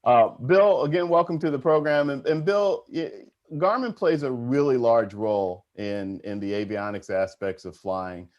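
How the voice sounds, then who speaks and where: A man's voice: medium pitch, moderate pace, darkish tone, highs cut off. A man hosting a panel program, conversationally introducing guests and putting questions to them. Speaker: host of an aviation panel program.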